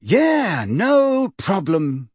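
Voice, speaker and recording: A man's voice: sits high, wildly swooping pitch, drawn-out words, darkish tone, breathy edge, no echo, voice pushed up, exaggerated voice. A man voicing an uneasy teenage boy who fakes cheer with a forced, over-hearty delivery. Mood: falsely hearty.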